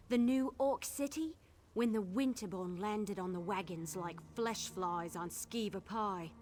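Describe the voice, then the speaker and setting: A woman playing a brash, sardonic young caravan guard in a fantasy video game, blunt and expressive. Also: on the high side. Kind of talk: video game dialogue acting.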